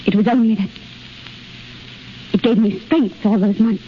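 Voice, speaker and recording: A woman's voice: mid-range voice, fairly even intonation, unhurried, lightly acted. Actress as plaintive, wounded woman, muffled vintage radio. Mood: hurt, reassuring. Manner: earnest, plaintive, melodramatic. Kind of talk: 1930s radio drama acting. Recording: dull, hissy 1930s radio recording.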